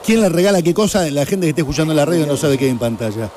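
A man's voice: a little high, very fast, slight background noise. A man with an Argentine accent hosting a casual show, bantering and telling stories emphatically and colloquially. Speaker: morning radio and streaming show host. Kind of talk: lively casual banter with co-hosts. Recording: music playing loudly behind. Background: music.

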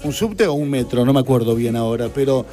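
A man hosting a casual studio chat show, chatty and animated, reading out viewer messages. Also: medium pitch, fast talker, faint music behind. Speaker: show host.

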